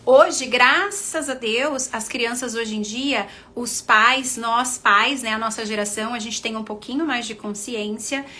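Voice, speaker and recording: A woman's voice: fairly high pitch, measured pace, hardly any pauses, sharp tone. A middle-aged Brazilian woman with a Brazilian Portuguese accent, a confidence coach chatting casually to her viewers, addressing them as friends. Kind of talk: casual livestream talk to followers.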